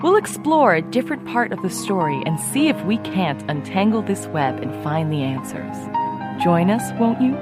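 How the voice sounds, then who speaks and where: A woman's voice: on the low side, very animated, normal speed, loud music behind the voice. A woman playing a self-important true-crime podcast host, earnest and easily irked, with a polished, dramatic delivery. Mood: solemn, dramatic. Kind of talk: scripted true-crime podcast narration and interviewing.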